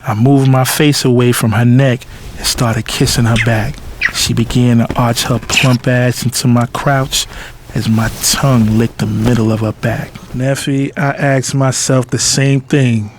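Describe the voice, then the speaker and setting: A man's voice: medium pitch, steady tone, a little slow, background noise, close to an everyday voice. A man playing a cocky, blunt playboy who narrates his affair in slangy, nonchalant, sensual fashion for an audio drama. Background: music with guitar.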